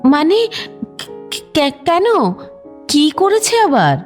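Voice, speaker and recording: An actress playing a polite but probing middle-aged neighbour woman in an audio drama, speaking haltingly and hesitantly. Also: sits high, sing-song, soft background music, heavily acted. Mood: concerned.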